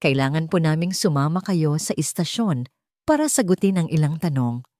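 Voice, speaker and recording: A woman's voice: low voice, expressive, moderate pace, mellow, dry recording, studio quality. Formal, unyielding senior police officer, voiced by a woman. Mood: stern, formal. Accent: Filipino. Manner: formal, professional, polite.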